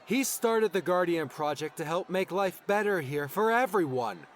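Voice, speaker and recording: A man's voice: high voice, expressive, normal speed, a little hiss or hum. An actor playing a young, hardened man who defiantly guards his home, terse and intense, in an audiobook drama.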